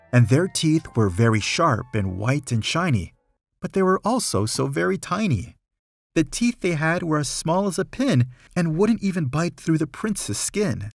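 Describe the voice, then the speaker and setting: A man reading a rhyming children's story aloud, in a measured, rhythmic storytelling way with clear emphasis. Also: mid-range voice. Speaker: storybook reader.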